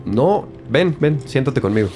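A man acting as a helpful tech investigator in an audio drama. He is low-key, informal and matter-of-fact, and music plays clearly behind him. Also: animated, a little slow, a little mellow, close to an everyday voice. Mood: welcoming.

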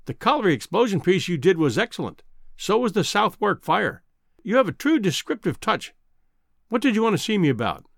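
A man voicing a kindly, crabbed old Scottish newspaper editor in a storybook reading, with a measured, avuncular manner. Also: high voice, very animated, gravelly, no room sound, clean studio sound, pitched above the performer's own voice, heavily acted. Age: older.

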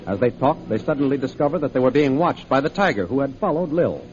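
A man's voice: mid-range voice, lively intonation, quick pace, darkish tone, a little hiss or hum. A man narrating and announcing a 1930s radio serial in a formal, declamatory scripted style. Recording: muffled vintage radio recording. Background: music.

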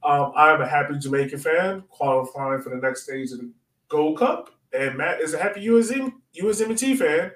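A man hosting a sports podcast, chatting casually as a fan in an excitable, slangy, informal way. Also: on the high side. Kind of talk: casual fan chat about soccer. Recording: roomy echo, distant from mic.

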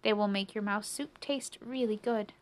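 A woman's voice: medium pitch, moderate pace, close to an everyday voice. Woman voicing a clever, confident mouse. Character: clever, obliging storyteller mouse.

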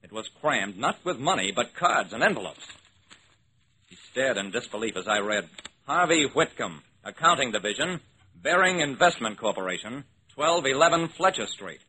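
A man's voice: mid-range voice, very animated, normal speed, bright, forceful tone. An actor playing a wry, level-headed investigator, narrating his case in a clipped, matter-of-fact way in an old-time radio drama. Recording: noisy old radio recording.

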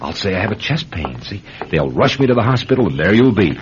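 Intense writer, obsessed n reckless, pushy cajoling, swingy low voice, old dull radio drama. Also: male voice, very animated, quick pace. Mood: fervent, pleading. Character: obsessed, reckless male novelist chasing a death experience. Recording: dull lo-fi radio recording with hiss.

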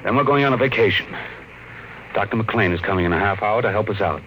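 A man's voice: lowish voice, quick pace, fairly bright tone, a little hiss or hum, natural voice, rough recording. An American actor playing a harried, exasperated father in a 1950s radio drama, emphatic and impatient. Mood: tense, weary. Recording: vintage radio broadcast recording.

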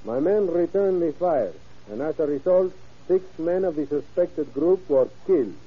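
An actor playing a cold, scheming, commanding Mexican general in old-time radio drama style. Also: male voice, fairly high pitch, expressive, normal speed, slightly rough. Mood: cold, dictating. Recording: muffled old radio recording.

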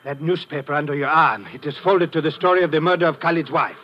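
A man's voice: fairly high pitch, fast talker, lightly acted. A man playing a stern, formal police lieutenant from abroad in a 1950s radio drama, speaking precisely and without contractions. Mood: stern, urgent. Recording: dull old radio broadcast.

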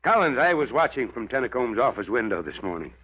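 An actor playing a wry, commanding fire chief in an old radio drama. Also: male voice, mid-range voice, sing-song, fast talker, breathy edge. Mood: amused, teasing. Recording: muffled vintage radio recording.

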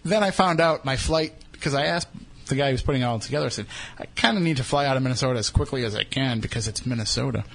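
A man's voice: mid-range voice, fast, creaky, a bit bright, low-quality recording. Joking Boston radio host man. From New England. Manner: joking, self-deprecating. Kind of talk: casual radio banter.

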